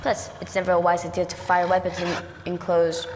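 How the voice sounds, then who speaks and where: An actress playing a no-nonsense, sarcastic Time Lord agent with a dry, brisk manner in a science-fiction audio drama. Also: female voice, fairly low pitch, monotone, background noise, close to an everyday voice. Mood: wary. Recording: long reverb with music behind.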